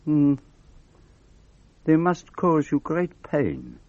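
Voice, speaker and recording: A man acting in a radio drama as a shrewd Belgian detective with a French-speaking accent, deliberate and courteous, given to musing hums. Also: a little high, huge pitch swings, dry recording, phone-quality sound, full character voice.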